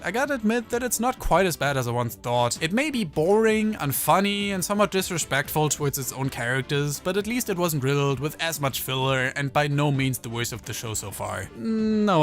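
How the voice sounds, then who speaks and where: A man with a slightly high voice reads a scripted video essay at a brisk clip. His phrasing is critical and wordy, with long, carefully built sentences delivered quickly. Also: a bit bright. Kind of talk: scripted review narration.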